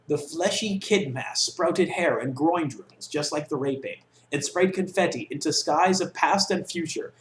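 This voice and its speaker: A man's voice: on the high side, a little hiss or hum. A young man reading a comic story aloud in a theatrical, mock-serious way. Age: young adult. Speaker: reader. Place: small dorm room with block walls, indoors. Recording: echoey bare room.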